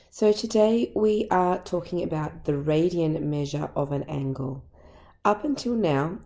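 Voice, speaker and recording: Aussie lady teacher, croaky and low, mellow tone, walks through maths slowly. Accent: Australian. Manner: patient, step-by-step explaining. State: croaky voice from illness. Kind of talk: recorded maths lesson.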